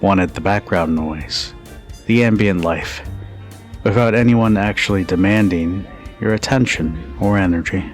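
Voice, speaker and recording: Guy acting as a low voiced bartender, confident n teasing, kinda protective, slow intimate asmr roleplay w music behind. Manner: teasing, unhurried, with soft chuckles and pauses. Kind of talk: ASMR roleplay, intimate second-person monologue.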